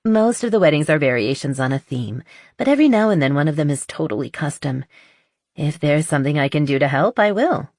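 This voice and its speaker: A woman voicing a spirited, playfully stubborn female artist in an audiobook romance, frank and animated. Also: low-pitched, brisk, no room sound, studio quality. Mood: chatty, enthusiastic. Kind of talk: audiobook character dialogue.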